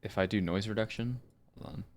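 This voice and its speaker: A man's voice: lowish voice, fairly quick. A man talking casually and informally, with some swearing, as a voice actor on a livestream. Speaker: streaming voice actor.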